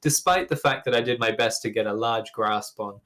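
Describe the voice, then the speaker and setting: A man's voice: medium pitch, normal speed, a bit bright. A young man from Ukraine with an English accent, whose first language is Russian, chatting as a guest in an interview and rambling with restarts. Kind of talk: casual interview conversation.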